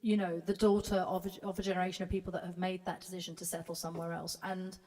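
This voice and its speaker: A woman's voice: on the low side, flat delivery, quick pace, a little mellow. A singer-songwriter, a woman, chatting casually between songs, hesitant and full of fillers. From Britain. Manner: hesitant, many fillers and restarts. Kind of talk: casual chat introducing a song. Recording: reverberant room, distant mic, music behind.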